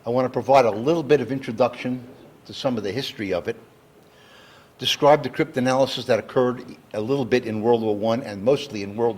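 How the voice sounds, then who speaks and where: A man giving an educational public lecture, explaining terms in a deliberate, step-by-step way. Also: mid-range voice, a little mellow, breathy edge. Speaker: lecturer, engineer. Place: talk given live to an audience.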